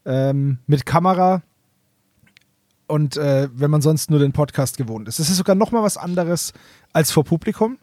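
A man's voice: a little high, expressive, normal speed, darkish tone, no room sound, studio quality. A man co-hosting a podcast, talking in a casual, conversational way. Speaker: podcast co-host.

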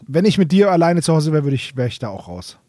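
A man's voice: on the high side, very animated, fast, mellow, dry recording, studio quality. A man co-hosting a podcast, chatting casually in colloquial, slangy phrasing. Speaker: podcast co-host.

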